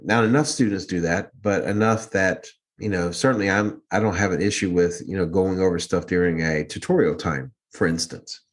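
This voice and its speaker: Big-voiced teacher guy, kinda low, assertive and wry, chatting on a podcast. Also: normal speed, few pauses. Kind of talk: reflective casual discussion.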